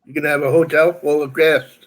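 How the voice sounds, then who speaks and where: A man's voice: a little high, level tone, a little fast, poor audio. A man in his sixties talking casually in a group chat. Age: 60s.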